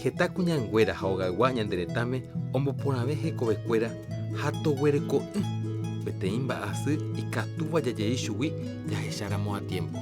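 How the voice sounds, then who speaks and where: Guy co-hosting a radio health show, measured announcing, loud guitar underneath. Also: medium pitch, animated. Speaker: radio co-host. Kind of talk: scripted radio presentation.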